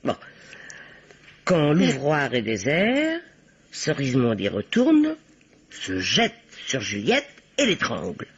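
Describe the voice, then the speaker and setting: An actress playing a bossy, self-assured older spinster who plays detective, speaking theatrically and with authority in an old radio play. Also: female voice, extremely low pitch, huge pitch swings, slow pace, long pauses, slightly rough, exaggerated voice. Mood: assertive. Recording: dull, lo-fi old radio recording.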